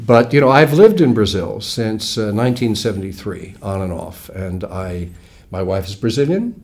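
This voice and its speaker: An American man in his sixties, a guest author explaining things in a wry, measured way, recorded in a small studio on a lapel mic. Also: lowish voice, animated, darkish tone. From United States. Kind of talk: relaxed interview talk. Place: small studio with red curtain.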